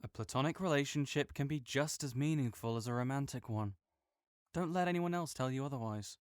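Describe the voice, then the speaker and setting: A man acts out a caring, easygoing young male friend in an audio roleplay, talking straight to the listener. His voice is smooth and clear, at an ordinary male pitch, and he sounds gentle and reassuring with a light joking touch. The sound is dry and close to the mic. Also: brisk, dry recording, close to an everyday voice.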